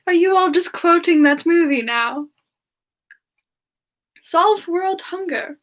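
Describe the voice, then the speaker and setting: Woman, high voice, unhurried delivery, halting and giggly, for an in-character semi-ASMR livestream chat. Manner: halting, musing aloud, giggly. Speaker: livestream host in character, answering viewer questions.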